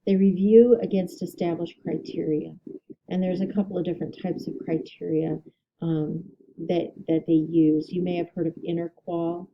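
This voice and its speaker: A woman instructor giving a recorded lecture, her voice a little hoarse, explaining steadily with ums and self-corrections. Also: lowish voice, little pitch movement, normal speed, highs cut off, slight background noise. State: slightly hoarse, clearing throat.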